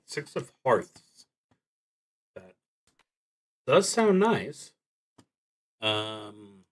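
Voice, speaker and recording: A man with a lowish voice talks his way through a solo tabletop game. His delivery is very slow and deliberate, with halting stretches where he works out ideas aloud and lets thoughts trail off. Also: slight background noise, rough recording. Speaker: solo tabletop roleplaying streamer. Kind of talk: thinking aloud while playing a solo roleplaying game.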